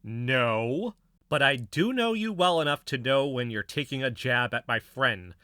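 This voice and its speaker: An impassioned, bright-voiced conspiracy theorist. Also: male voice, medium pitch, expressive, measured pace, no room sound, clean studio sound. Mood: defensive, irritated. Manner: emphatic, insistent, building speeches. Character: impassioned male conspiracy theorist, defensive and stubborn. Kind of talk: scripted audio fiction dialogue.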